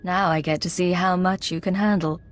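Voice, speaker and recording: A woman playing a composed undercover Imperial agent in a video game, measured and businesslike. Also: fairly low pitch, steady tone, close to an everyday voice. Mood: cool, businesslike.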